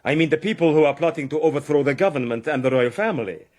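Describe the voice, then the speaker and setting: An actor playing a stern, suspicious baron in a radio drama, speaking in a formal, condescending way. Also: male voice, on the high side, fast, lightly acted. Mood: suspicious, threatening.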